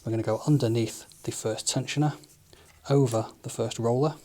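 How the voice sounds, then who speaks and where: Guy explaining gear step by step, relaxed, um and uh here and there, medium voice. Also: medium pitch, normal speed. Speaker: studio engineer demonstrating equipment. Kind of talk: hands-on tutorial narration.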